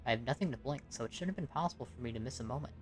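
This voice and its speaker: Very low-voiced woman narrating a story. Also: rapid-fire. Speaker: amateur voice actor and narrator. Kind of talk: scripted game story narration. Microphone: home recording. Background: music.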